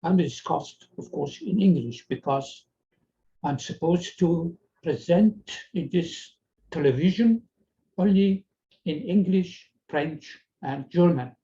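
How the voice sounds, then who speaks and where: Slow, halting older man with Persian accent. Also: a little high, animated, mellow, a little hiss or hum. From Iran. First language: Farsi. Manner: slow, halting and earnest, with frequent pauses and repeated words. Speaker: TV presenter. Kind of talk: personal address to viewers. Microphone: video call. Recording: slightly dull video call audio.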